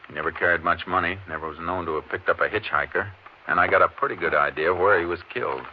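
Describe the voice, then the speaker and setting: An actor playing a steady, methodical Texas Ranger investigator in a terse, measured way, in 1950s radio drama style. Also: male voice, deep voice, lightly acted. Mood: matter-of-fact, assured. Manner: terse, measured, matter-of-fact. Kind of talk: 1950s radio western detective drama acting. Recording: dull, noisy old 1950s radio recording.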